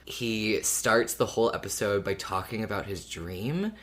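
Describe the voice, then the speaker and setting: A man's voice: fairly low pitch, a little slow, a bit bright. A man co-hosting a casual fan podcast, thoughtful and rambling, laughing often. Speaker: podcast co-host.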